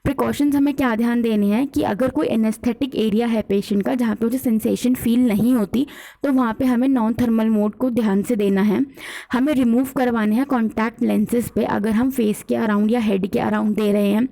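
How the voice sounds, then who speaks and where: A woman's voice: on the high side, fairly even intonation, a little fast, vocal fry, mellow, rough recording. A woman with an Indian accent teaching an exam revision lesson, explaining point by point and often checking in with listeners. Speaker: physiotherapy teacher. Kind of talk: exam revision lecture mixing scripted points with casual explanation.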